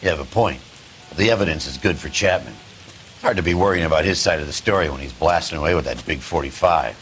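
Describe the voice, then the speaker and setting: An actor playing a gruff, excitable middle-aged American police detective with a blunt, slangy way of talking. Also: male voice, very deep voice, fast talker, slight background noise. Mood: wry, conceding. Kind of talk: dramatic video game acting.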